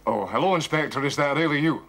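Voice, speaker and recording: A man's voice: on the high side, fast talker, vocal fry, fairly bright tone, voice pushed down. Man putting on a courteous, quaint Scotsman. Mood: mock courteous. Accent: Scottish. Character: gentleman thief mockingly posing as a courteous Scotsman. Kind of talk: period television drama dialogue.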